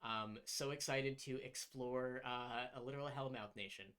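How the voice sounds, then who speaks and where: A man's voice: mid-range voice, steady tone, moderate pace, a crowd in the background. A man who is the dungeon master, thanking viewers and plugging his work in a casual, upbeat outro after a tabletop game session. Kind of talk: casual post-game chat and stream outro.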